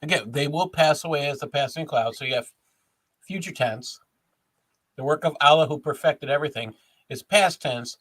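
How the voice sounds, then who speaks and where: A man's voice: a little high, normal speed, fairly bright tone, poor audio. A man co-hosting a casual discussion, explaining points step by step like a teacher going over grammar. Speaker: co-host, educator.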